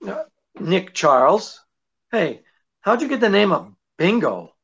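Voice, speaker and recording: Middle-aged gentleman sleuth, breezy and very animated, old-time radio comedy, dull muffled sound. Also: high-pitched, takes it slowly, vocal fry, full character voice. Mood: inquisitive. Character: jaunty, wisecracking gentleman detective husband. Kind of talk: 1940s radio comedy acting. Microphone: seated before camera on video call.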